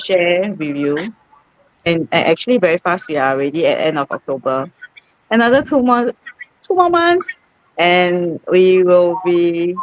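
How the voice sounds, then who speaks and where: Woman host, forties, informal and halting, dry sound. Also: fairly low pitch, no room sound, rough recording. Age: 40s. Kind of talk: informal live-stream presentation.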